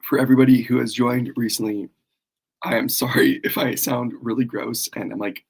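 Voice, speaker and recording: A man with a cold and a worn, hoarse voice, rambling casually through a live stream as he launches his course. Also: medium pitch, fairly even intonation, moderate pace. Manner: rambling, self-deprecating, frequent fillers.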